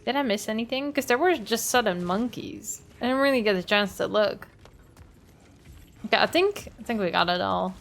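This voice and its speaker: A woman's voice: medium pitch, normal speed, gravelly. Playful woman streamer. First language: Dutch. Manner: chatty, playful, greets chat. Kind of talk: live gameplay commentary.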